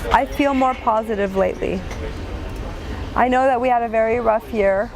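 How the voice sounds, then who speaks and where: A woman giving thoughtful, measured interview answers. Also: a little high, music in the background, rough recording.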